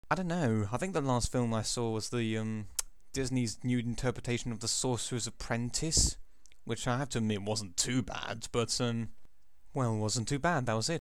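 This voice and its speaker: A man's voice: medium pitch, moderate pace, clean tone, no room sound. An eighteen-year-old man with an English accent chatting casually at his computer, rambling with many ums and self-corrections. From England. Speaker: vlogger. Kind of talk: casual chat answering a questionnaire.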